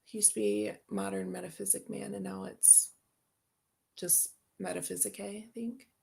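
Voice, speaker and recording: A woman's voice: medium pitch, flat delivery, moderate pace, vocal fry, warm tone. A woman hosting a tarot channel, chatting casually and rambling as she unboxes decks and answers viewer comments.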